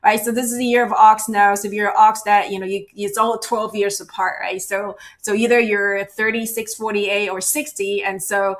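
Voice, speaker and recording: A woman's voice: medium pitch, flat delivery, moderate pace, few pauses. Chatty woman trainer, keeps asking "right?". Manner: conversational, checks in with frequent "right?" and "you know". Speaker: cultural awareness trainer. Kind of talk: virtual workshop presentation. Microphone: video call.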